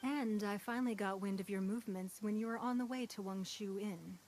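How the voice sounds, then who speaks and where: A woman's voice: mid-range voice, a little mellow, a little hiss or hum, natural voice. Poised, stately ruling woman acted by a woman. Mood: proud, composed. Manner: formal, composed, measured, stately diction. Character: Ningguang, poised wealthy ruling woman, calm and calculating. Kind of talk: scripted video game dialogue. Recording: music behind the voice.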